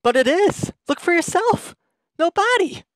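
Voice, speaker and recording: Guy playing loyal buddy in a radio mystery, excitable, wry, voice jumps around, dry recording. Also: very high-pitched, a little slow, dry recording, heavily acted. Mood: alarmed. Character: incredulous, loyal friend drawn into grave robbing. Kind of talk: radio mystery drama acting.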